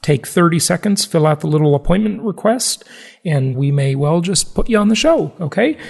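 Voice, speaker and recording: A man's voice: fairly high pitch, expressive, normal speed, gravelly, slightly rough. An American man hosting a podcast, chatting informally with casual asides. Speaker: podcast host. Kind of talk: casual solo podcast talk.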